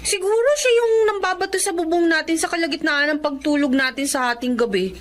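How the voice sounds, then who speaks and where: A woman's voice: sits high, fairly quick, close to an everyday voice. A woman playing a young orphaned girl in a Filipino melodrama, earnest and plaintive, polite but firm. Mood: resigned. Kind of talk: Filipino melodrama audio acting.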